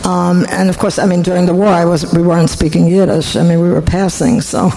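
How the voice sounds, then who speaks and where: A woman in her eighties from Poland, thinking back in an oral history interview with many pauses and restarts. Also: on the low side, flat delivery, creaky, mellow, a little hiss or hum, poor audio. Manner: reflective, halting, frequent restarts.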